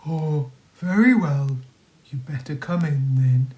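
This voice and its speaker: A woman's voice: sits low, slow pace, mellow. Woman voicing a plaintive, put-upon storybook wolf. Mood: reluctant.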